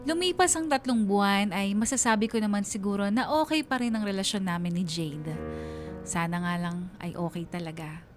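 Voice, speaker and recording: A woman's voice: mid-range voice, normal speed, faint music behind, lightly acted. An actress playing a wistful young woman narrating her own story in a confessional radio drama. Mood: troubled, wistful.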